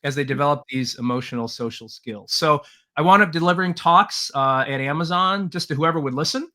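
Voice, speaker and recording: A man's voice: a little high, fairly quick, bright, forceful tone. A middle-aged man from Taiwan, a podcast guest and leadership trainer, telling his life story in an earnest way, with many "uh" fillers and light laughs. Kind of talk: relaxed interview storytelling.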